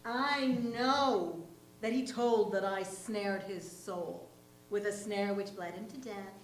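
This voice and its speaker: A woman playing a resentful, self-justifying wife in a deliberate, pointed poetry monologue, recorded in an echoey room with hum. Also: mid-range voice, lightly acted. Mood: aggrieved. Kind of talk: dramatic monologue, staged poetry reading. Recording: echoey room, distant mic, humming noise.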